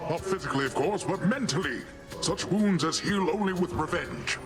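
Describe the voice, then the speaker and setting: A man's voice: high voice, normal speed, lightly acted. A man playing a jovial, boastful sea god uncle in a video game, with grandiose, playful and theatrical delivery that is goofy yet haughty. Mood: mock-indignant, boastful. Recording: loud game music behind the voice.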